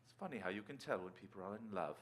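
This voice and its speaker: An actor playing a suave, calculating former tennis star in a radio drama, with an affable, glib, urbane manner. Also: male voice, fairly low pitch, lively intonation, quick pace, close to an everyday voice. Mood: brooding, calculated. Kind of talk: stage radio-drama acting.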